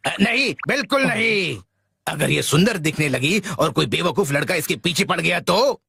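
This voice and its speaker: A man's voice: fairly high pitch, lively intonation, normal speed, pushed and bright, no room sound, clean studio sound, full character voice. A man voicing a stubborn, overprotective middle-aged father in a Hindi cartoon dub, fussy and bossy, with music behind. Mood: indignant. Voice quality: creaky.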